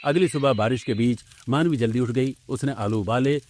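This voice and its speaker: A man's voice: mid-range voice, normal speed, a little mellow, slight background noise. A man narrating a scripted domestic story for a cartoon.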